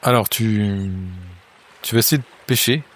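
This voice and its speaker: A man running a tabletop horror game as game master, narrating scenes to a player in a halting way with many hesitations. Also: on the low side. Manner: hesitant, many filler sounds and restarts; second-person scene narration.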